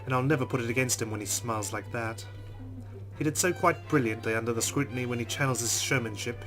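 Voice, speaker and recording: A man's voice: mid-range voice, fast, soft background music, poor audio. Man reading game narration dramatically. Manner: expressive reading with reactions. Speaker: let's player reading a visual novel. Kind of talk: dramatic first-person narration.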